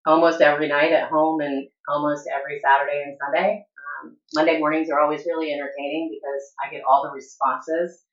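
A woman guest talking candidly in an interview, laughing often and pausing with "um" between thoughts. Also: low-pitched, flat delivery. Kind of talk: casual interview talk. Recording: echoey room, dull lo-fi sound.

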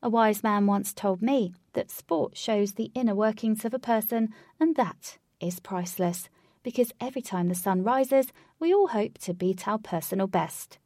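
A woman's voice: mid-range voice, normal speed, mellow, no room sound, studio quality, close to an everyday voice. A woman voicing a young female assistant coach giving a gracious speech in a storybook.

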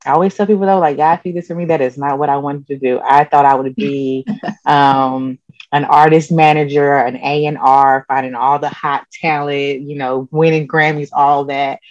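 A woman therapist with a low-register, soothing voice, answering interview questions calmly and openly. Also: normal speed, no room sound. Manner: calm, open, laughs often. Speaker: therapist guest. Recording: dull lo-fi dry sound.

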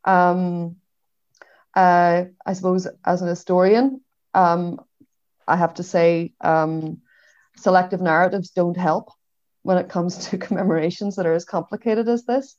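A woman with a Derry, Northern Irish accent speaking as a historian on a panel, in a reflective, measured and hesitant way. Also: on the low side, fairly even intonation, a little mellow, dry recording. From Derry, Northern Ireland. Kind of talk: panel discussion. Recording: dull, lo-fi online audio.

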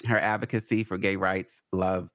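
A man co-hosting a casual pop culture chat, talking in an animated, rambling way. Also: low voice, no echo, studio quality. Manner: animated, rambling, quick to rant. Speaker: podcast co-host.

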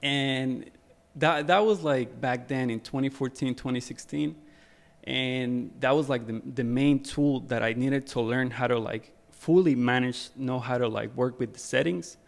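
A young man from Ecuador speaks as a podcast guest in a relaxed, easygoing way. His voice sits at an ordinary mid pitch, and he fills his sentences with "like" and "you know" and restarts often. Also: a little slow, creaky. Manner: relaxed, many fillers and repeats. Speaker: guest photographer. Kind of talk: casual podcast interview.

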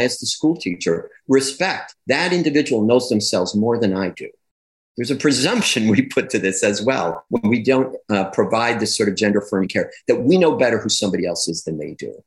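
A man speaking as a guest in an interview, explaining his views in a reflective, conversational way. He grows more animated as he builds his points. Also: medium pitch, brisk, low-quality recording. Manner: reflective, with repeated phrases and restarts. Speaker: guest, clinician and educator on gender.

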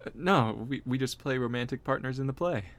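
Actor as awkward young man, medium pitch. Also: no room sound, natural voice. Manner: mild, halting, awkward. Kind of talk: comedic audio drama acting.

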